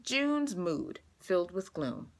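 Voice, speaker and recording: A woman reading a children's storybook aloud, expressive and carefully paced for young listeners. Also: on the low side, slow, creaky.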